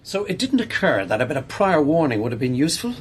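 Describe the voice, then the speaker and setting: An Irish actor playing a pressured newspaper boss in a radio play, blunt, firm and emphatic. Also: male voice, on the high side, sing-song, quick pace, slight background noise. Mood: exasperated. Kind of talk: naturalistic radio drama acting.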